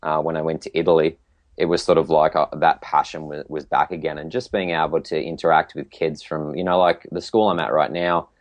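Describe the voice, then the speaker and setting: A man's voice: very deep voice, flat delivery, a little fast, no echo. An Australian man from Tasmania chatting casually as an interview guest, telling his story in a hesitant, reflective way. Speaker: podcast guest, PE teacher.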